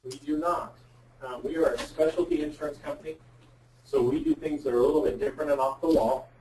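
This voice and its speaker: A man's voice: a little high, fairly even intonation, fast talker, darkish tone. Plain-spoken man, roomy distant sound. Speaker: guest speaker, insurance representative. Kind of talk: candid professional Q&A on a web conference. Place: web conference call. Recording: roomy, distant mic with faint noise.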